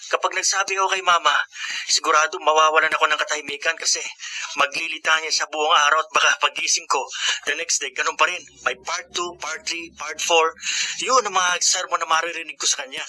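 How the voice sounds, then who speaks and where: A man's voice: a little high, level tone, a little fast, sharp tone, poor audio. A man playing a frustrated but obedient college-age son in a Filipino radio drama, colloquial and plaintive, with a Filipino accent. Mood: resigned. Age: young adult.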